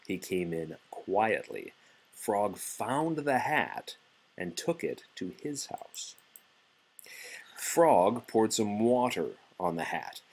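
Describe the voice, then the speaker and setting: A man reading a children's storybook aloud as a father would, in an animated, playful and deliberate way. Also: fairly low pitch, breathy edge, poor audio.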